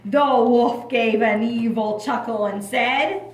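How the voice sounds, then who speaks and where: A woman narrating a scripted children's puppet show in a theatrical, drawn-out way. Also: on the high side. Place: echoey room at a library. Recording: echoey room with clear background noise.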